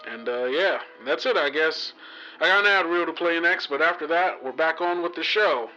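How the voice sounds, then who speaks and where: A man's voice: on the high side, normal speed, pushed and bright. A man hosting a podcast, giving an informal announcement with a dull, radio-like sound. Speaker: podcast host. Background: music.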